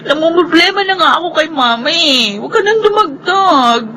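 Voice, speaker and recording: A woman's voice: extremely high pitch, expressive, normal speed, sharp tone, full character voice. An actress playing a scheming, emotional young woman in a Filipino radio soap opera, with a Filipino accent. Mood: tearful pleading. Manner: emotional, melodramatic, code-switches into English. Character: scheming young woman, defiant elder sister, pleading girlfriend.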